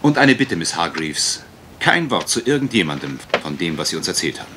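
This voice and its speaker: A gallant and slightly bumbling gentleman detective, speaks in a low register with big swings of intonation. His delivery is theatrical, with hesitant flourishes, as in a radio mystery. The recording is old and clearly noisy, with clicks. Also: sing-song, fairly quick. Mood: courteous, probing. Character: male private detective, gallant, bumbling, energetic husband. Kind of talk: German radio crime drama acting.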